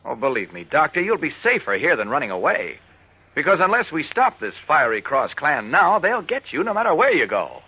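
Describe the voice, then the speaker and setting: A man's voice: fairly high pitch, huge pitch swings, fairly quick, slightly rough, exaggerated voice. A man acting in a 1940s radio drama as an earnest, resolute, persuasive man, on a muffled old recording. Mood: earnest, urgent. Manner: persuasive, emphatic. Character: earnest, resolute man urging a frightened family to stand firm.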